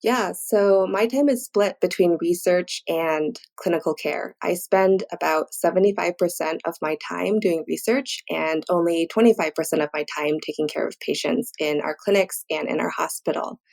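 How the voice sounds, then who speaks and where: A woman with a fairly low voice and plenty of vocal fry speaks as an interview guest. She explains her points conversationally and informally, as a professional would on a podcast. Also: moderate pace, hardly any pauses, a little mellow. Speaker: guest, physician and researcher.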